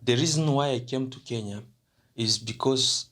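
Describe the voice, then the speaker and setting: A man's voice: mid-range voice, moderate pace, fairly bright tone. A young man from DR Congo, an international student representative, answering interview questions hesitantly with many fillers and restarts.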